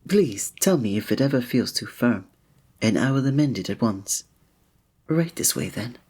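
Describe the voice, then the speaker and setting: Man playing a soft, breathy, gallant fantasy innkeeper. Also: medium pitch, animated, moderate pace. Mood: tender, slightly flustered. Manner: formal, old-fashioned, gallant, gentle phrasing. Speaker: ASMR roleplay performer. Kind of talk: scripted romantic ASMR roleplay.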